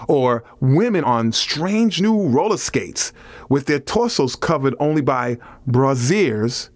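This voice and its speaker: A man reads scripted nonfiction as an audiobook narrator, with measured, deliberate phrasing. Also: medium pitch, no room sound.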